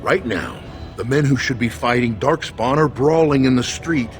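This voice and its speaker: A man's voice: medium pitch, moderate pace, soft background music, lightly acted. An actor playing an earnest, guarded dwarf nobleman's loyal second, speaking formally and with measure in a fantasy game. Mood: grave, urgent. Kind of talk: dramatic fantasy game acting.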